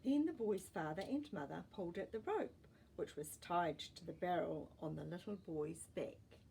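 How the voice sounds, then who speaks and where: A woman storyteller reading a children's story expressively, with deliberate pauses and dramatic emphasis. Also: on the low side, expressive, gravelly, mellow, slight background noise, rough recording. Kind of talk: expressive storybook narration with asides to young listeners.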